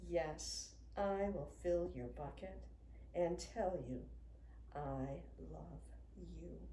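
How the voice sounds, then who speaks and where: A woman in her sixties, a children's author, reading a picture book aloud to young children in an expressive, sing-song way. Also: on the low side, very slow. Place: indoors in a home room with a wooden desk. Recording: roomy sound with faint noise.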